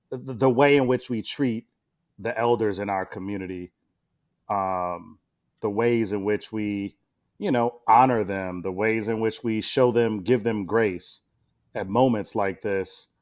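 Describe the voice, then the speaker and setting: Laid-back low-voiced man chatting. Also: takes it slowly, a little mellow. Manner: laid-back, slangy, lots of fillers. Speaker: podcast co-host. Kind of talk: casual podcast discussion.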